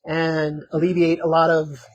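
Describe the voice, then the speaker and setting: A man running for sheriff, answering audience questions at a community meet and greet in an earnest, conversational way, hoarse and full of filler ums. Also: fairly high pitch, completely flat delivery, unhurried, highs cut off. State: hoarse, losing his voice. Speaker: sheriff candidate.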